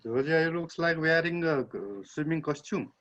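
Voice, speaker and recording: A man from Nepal casually sharing personal experience in a group video call, with halting, hesitant phrasing. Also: on the high side, steady tone, fairly bright tone. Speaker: reading group participant. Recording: dull lo-fi video-call audio.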